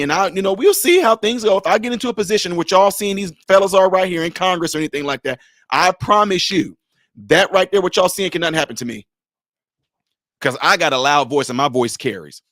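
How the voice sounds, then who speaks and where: A man with a high voice gives live-stream commentary on a clip he keeps pausing. He talks with emphatic, rhetorical energy. The sound is dry and close, with little room tone. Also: no echo. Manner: animated, emphatic, rhetorical questions. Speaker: commentator reacting to a video clip.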